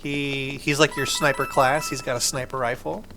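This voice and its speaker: A man's voice: medium pitch, level tone, moderate pace, vocal fry. A man hosting a gaming video, giving casual, enthusiastic gameplay commentary in an informal, explaining way. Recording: game music behind the voice.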